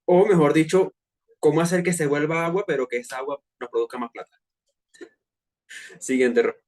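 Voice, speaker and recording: A man in his twenties with a Venezuelan accent, presenting a personal finance workshop to peers in a relaxed, chatty way with frequent hesitations. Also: fairly high pitch, normal speed. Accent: Venezuelan Spanish. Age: 20s. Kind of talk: casual online presentation to peers.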